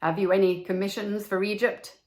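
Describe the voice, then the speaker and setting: A woman's voice: medium pitch, level tone, moderate pace, darkish tone, natural voice. A woman voicing a proud, boastful little male swallow in a storybook, with haughty, emphatic delivery. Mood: eager, proud.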